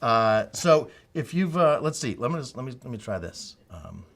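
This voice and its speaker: Booming-voiced man hosting a live show. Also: sing-song, normal speed. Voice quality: loud, booming. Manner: chatty, joking back and forth. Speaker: host of a product show. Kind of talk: casual live banter and product demo.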